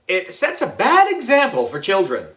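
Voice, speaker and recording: Storybook schoolgirl played by a male reader: prim, easily annoyed, high and forceful, scolding manner. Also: huge pitch swings, normal speed, recorded from a distance, exaggerated voice. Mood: disapproving. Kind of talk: children's storybook character voice.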